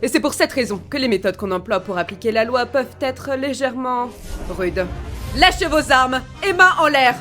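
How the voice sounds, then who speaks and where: A woman's voice: fairly high pitch, a little fast, soft background music, close to an everyday voice. A woman voicing a stern, poised police captain in a game fan dub. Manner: composed, authoritative.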